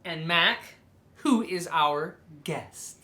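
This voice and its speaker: An expressive storybook reader hosting a read-aloud for children. The delivery is unhurried and theatrical, with a medium-pitched voice that swings widely and leans on key words. The room adds a clear echo. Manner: theatrical, slow and drawn-out with emphatic stresses.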